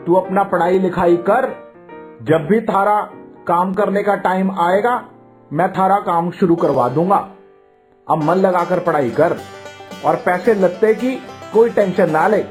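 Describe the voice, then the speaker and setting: Man acting a gruff Haryanvi uncle. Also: high voice, unhurried, a little mellow, soft background music. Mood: earnest, fatherly. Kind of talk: Hindi cartoon voice acting.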